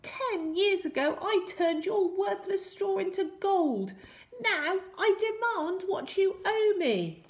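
A menacing little storybook villain, as a woman reader puts him on. The voice is high and squeaky, pitched well up. He is sly and demanding, with a cackling edge. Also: expressive, slow, heavily acted. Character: strange little old-tale villain man, menacing, sly. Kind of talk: children's storybook reading.

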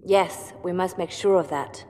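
A woman's voice: fairly low pitch, measured pace, dark tone, in a large hall, lightly acted. A woman playing a composed, watchful spymaster in a video game. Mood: calm, measured. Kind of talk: video game dramatic acting.

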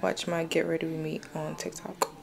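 A woman's voice: low voice, little pitch movement, moderate pace, gravelly, heavy background noise. A young woman chatting casually and informally to camera in a slangy vlog style. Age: young adult. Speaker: vlogger.

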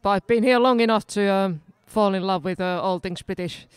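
A woman's voice: medium pitch, a little fast. A woman from Finland with a Finnish accent, chatting casually and self-deprecatingly to a pub garden crowd between songs at an open mic, on a stand mic. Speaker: singer-pianist. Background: live keyboard.

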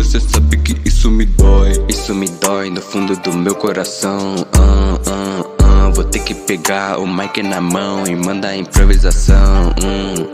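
A Brazilian man singing and rapping a trap track in a drowsy, melodic way with breathy ad-libs. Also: low-pitched. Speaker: trap artist. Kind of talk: sung and rapped trap track. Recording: loud backing beat over vocals. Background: loud trap beat.

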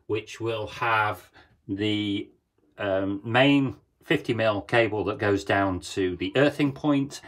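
A man in his fifties filming himself in a small boat cabin on a phone, explaining a wiring job in a relaxed, hesitant way with many fillers. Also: mid-range voice. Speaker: sailing vlogger. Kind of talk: casual how-to vlog. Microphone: handheld phone camera.

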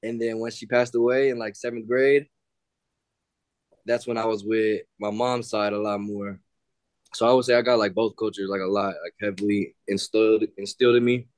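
A young man from the San Francisco Bay Area speaks up in a class held over a video call. His voice sits low and sounds dry and close. He talks in a blunt, slangy, animated way. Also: normal speed, no room sound. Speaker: student. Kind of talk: casual class discussion.

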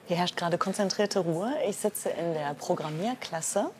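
A woman reporter speaking calmly and explaining as she goes. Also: fairly low pitch, moderate pace, soft background music. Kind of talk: on-site reporting.

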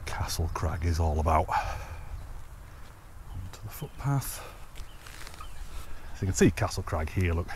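A man in his thirties with a lowish voice talks into a handheld camera while walking outdoors. He is chatty and enthusiastic. Faint outdoor ambience sits behind him. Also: expressive, slow, long pauses, a little hiss or hum. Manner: chatty, enthusiastic, laughs to himself. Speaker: hiking vlogger. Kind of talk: casual walking commentary. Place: outdoors on open fells and woodland paths. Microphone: handheld camera at arm's length.

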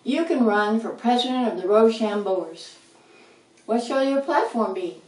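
A woman's voice: a little high, animated, measured pace, darkish tone. A woman doing an upbeat bossy red rat. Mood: coaxing. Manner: upbeat, rhyming, coaxing. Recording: roomy, slightly distant mic.

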